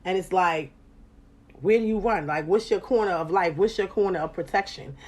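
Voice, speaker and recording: A woman hosts a casual chat in a low-set voice. She is animated and profane, and her voice climbs loud and high when she gets excited. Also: normal speed. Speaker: podcast host.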